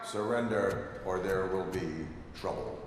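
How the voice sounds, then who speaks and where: An actor playing a stoic cyborg policeman in a video game, terse and unyielding, speaking in a clipped, mechanical deadpan. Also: sits low, unhurried, slight background noise. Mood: stern, impassive.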